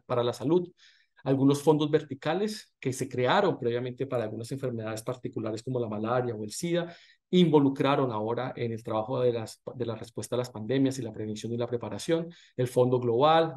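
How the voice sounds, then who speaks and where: A man in his thirties giving a recorded lecture over slides through a webcam, speaking in a measured way with frequent restarts and fillers. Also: medium pitch, a little mellow.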